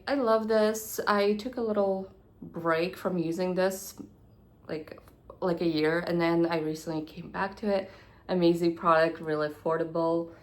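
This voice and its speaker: Nasal, stuffy-voiced woman beauty vlogger. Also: on the low side. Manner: chatty, hurried, drops to near-whispers at times. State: congested and nasal from a cold. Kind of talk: casual product review to camera.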